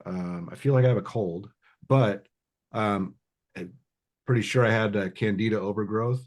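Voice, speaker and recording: A man co-hosting a casual podcast, talking in a relaxed way and laughing at his own jokes. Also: fairly low pitch, normal speed. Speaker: podcast co-host.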